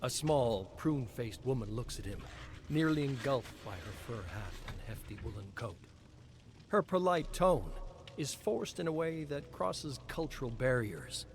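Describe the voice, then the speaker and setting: A man giving a measured, expressive dramatic reading of game story text as a lore reader on a live stream. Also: mid-range voice, slight background noise. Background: clear background music.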